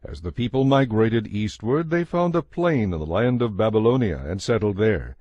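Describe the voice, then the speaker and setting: A man giving a measured, solemn scripted narration of a dramatized Bible. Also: on the low side, expressive, a little mellow, no room sound, muffled recording. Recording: narration over a music bed.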